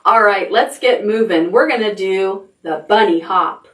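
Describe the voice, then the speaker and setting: A woman's voice: mid-range voice, a little slow. A woman hosting a toddler storytime as a children's librarian, reading aloud expressively in simple child-directed language with little asides.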